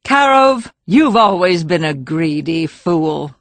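A woman's voice: lowish voice, lively intonation, takes it slowly, dry recording. A woman playing a tough, commanding village leader, firm and coolly threatening, in a fantasy audiobook. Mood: cool threat. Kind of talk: full-cast fantasy audiobook acting.

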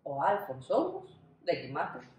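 A woman in her thirties reads a storybook for children. Her pitch moves up and down expressively, and she leans on key words with dramatic pauses. The room gives her voice a noticeable echo. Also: wildly swooping pitch, darkish tone. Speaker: storybook reader. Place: small indoor room, seated. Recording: roomy indoor echo.

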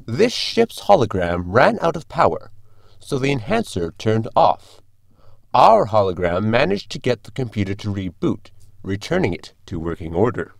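A man's voice: lowish voice, very animated, normal speed, creaky, dry recording, clean studio sound, exaggerated voice. An actor playing a polite, dryly logical male android crewman in a formal, precise manner for a sci-fi comedy audio drama. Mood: explaining, dry.

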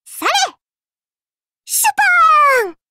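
A woman's voice: very high-pitched, sing-song, slow, bright, forceful tone, no echo, studio quality, exaggerated voice. A woman voicing a cute, mischievous young girl in an anime mobile game, perky and teasing, with polite, playfully smug delivery. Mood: cheerful, excited. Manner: perky, teasing, polite speech with cutesy exclamations.

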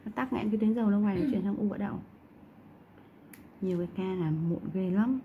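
A woman's voice: mid-range voice, fast, phone-quality sound, a little hiss or hum. Chatty woman treating skin. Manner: chatty, explains cases while treating. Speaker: acne extraction practitioner. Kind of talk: casual chat while working. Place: skin clinic treatment room.

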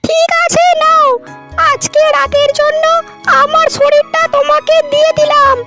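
A performer voicing a sassy young wife and daughter-in-law in a comic cartoon, coy and scolding through a squeaky pitch-shifted voice. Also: level tone, unhurried. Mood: coy, exasperated. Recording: music clearly behind the voice.

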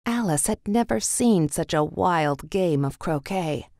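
Low-pitched woman reading a storybook, unhurried. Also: animated, darkish tone, dry recording, studio quality. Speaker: storybook narrator. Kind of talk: scripted children's read-along narration.

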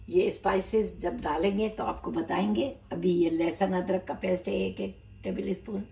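A woman's voice: fairly low pitch, creaky, dark tone. A middle-aged woman giving a casual cooking demonstration in short, simple instructional phrases. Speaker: home cook presenting recipes. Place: home kitchen, indoors. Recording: distant mic, kitchen clatter and noise.

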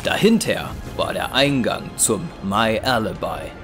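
A man's voice: on the low side, expressive, unhurried. A man narrating a scripted audio drama. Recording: clear music behind.

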